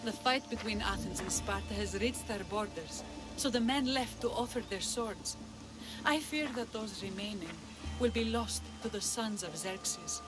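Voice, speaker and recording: A woman's voice: medium pitch, lively intonation, a little slow, over music, close to an everyday voice, low-quality recording. Woman playing a grave, worried Greek village magistrate. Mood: grave, anxious. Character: worried, dignified female village magistrate of ancient Greece. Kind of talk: video game cutscene acting.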